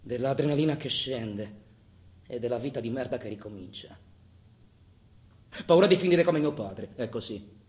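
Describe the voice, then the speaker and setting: A man's voice: mid-range voice, normal speed, pauses often, lightly acted. Agitated actor playing male robber, echoey room. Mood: bitter confession. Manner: colloquial, profane, intense, swinging from hushed to shouting. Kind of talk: theatrical radio monologue. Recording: roomy echo, faint background noise.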